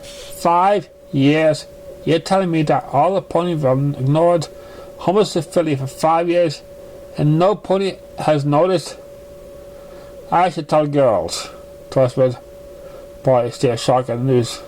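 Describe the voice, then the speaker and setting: A man reading a story aloud as an amateur narrator, stumbling and halting over the words. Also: on the high side, darkish tone, rough recording. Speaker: amateur fan fiction reader. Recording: noticeable background noise, amateur recording.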